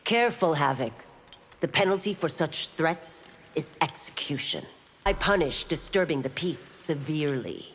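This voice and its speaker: A principled, stern chief constable in a fighting game. Her voice is low, with formal authority in every line. It rings in a long hall reverb with clear noise behind it. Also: animated, normal speed. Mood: stern, warning. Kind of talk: scripted fighting game dialogue.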